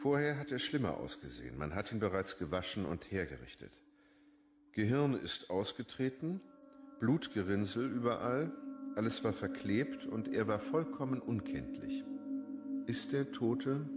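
Low-voiced, blunt homicide inspector. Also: male voice, big pitch swings, over music. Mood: clinical, blunt. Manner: measured, official, blunt. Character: matter-of-fact male homicide inspector. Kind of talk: German radio crime drama acting.

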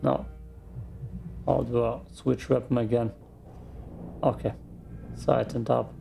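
Slow, creaky Austrian man. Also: medium pitch, flat delivery, mellow, soft background music. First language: German. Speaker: gaming streamer. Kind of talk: casual let's play commentary.

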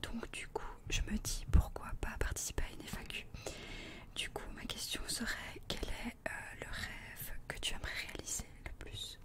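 A woman, reading questions haltingly in a breathy ASMR whisper close to the microphone. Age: 20s. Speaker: co-host reading out viewer questions. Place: small attic room indoors. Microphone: desk condenser microphone, close.

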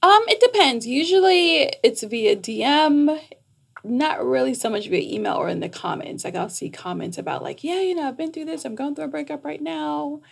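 A middle-aged woman talking conversationally as an interview guest, explaining things in an animated way with a slightly husky, creaky voice, close on a studio mic. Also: high-pitched, moderate pace. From Jamaica, raised in New York. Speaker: guest, psychotherapist. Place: small foam-treated podcast studio. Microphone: close boom-arm studio microphone.

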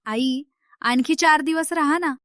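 Actress as caring young daughter, very high pitch, bright, coaxing, children's folktale. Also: female voice, unhurried, no echo, clean studio sound.

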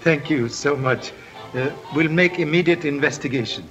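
An actor playing a calm, polite older male detective who speaks in terse, clipped sayings in a 1930s film. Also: fairly high pitch, normal speed, muffled recording, music in the background, close to an everyday voice.

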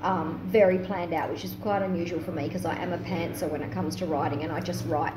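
Female guest poet, lowish pitch, informal storytelling, echoey venue, noticeable background noise. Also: steady tone, a little fast. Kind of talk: casual live interview storytelling. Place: live in a taproom bar. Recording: echoey, noisy live venue recording.

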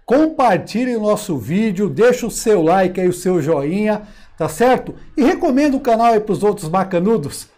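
A man's voice: high voice, darkish tone. A Brazilian man in his forties hosting casually, slangy and emphatic, repeating words and checking that viewers agree. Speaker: host of a CB radio channel. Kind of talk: casual, chatty commentary to viewers. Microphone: lapel mic.

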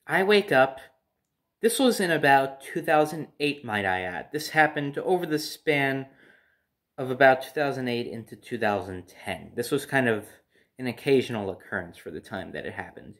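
A young man tells a story from his own life in a casual way. His voice sits a little high for a man, and its pitch moves up and down a great deal as he goes. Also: fairly bright tone. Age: young adult. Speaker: storyteller, vlogger. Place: living room at home, by a window.

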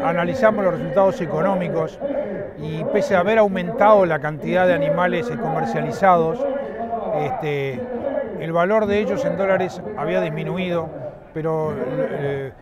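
A man's voice: high voice, highs cut off. A middle-aged man with a Uruguayan accent, the president of a rural association, giving an outdoor interview with frequent fillers and restarts. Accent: Uruguayan Spanish. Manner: halting, many fillers and restarts. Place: outdoors at a livestock fairground.

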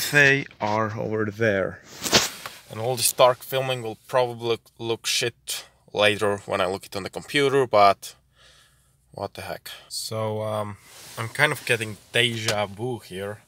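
A man's voice: fairly low pitch, measured pace, background noise. Rambling young man vlogging at night. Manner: rambling, self-deprecating, swears casually. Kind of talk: casual vlog chat. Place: outdoors at night on a wet street and in a car.

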